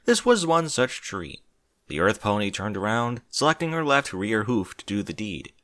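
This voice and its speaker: A man reading a story aloud as narrator, in an expressive scripted style. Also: on the low side, normal speed, no room sound, studio quality. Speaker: fan fiction narrator.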